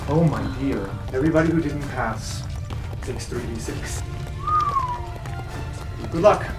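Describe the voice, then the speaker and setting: A man's voice: mid-range voice, measured pace. A man narrating an improvised tabletop game as game master, informal but dramatic when setting scenes. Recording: roomy echo with music underneath. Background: music.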